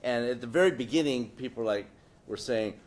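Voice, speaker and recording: A man's voice: medium pitch, brisk. A man on a discussion panel telling a casual, rambling anecdote full of fillers, getting indignant as he goes.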